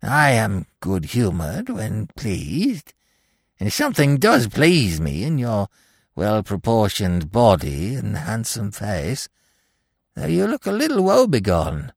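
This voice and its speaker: A man voicing a misshapen, malevolent dwarf magician in a dramatic story reading, with theatrical, grandiose, mockingly genial delivery and a gravelly, creaky voice. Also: lowish voice, huge pitch swings, no echo, studio quality, heavily acted. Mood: wheedling, genial. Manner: theatrical, drawn-out, archaic grandiose speech. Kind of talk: dramatic audiobook character reading.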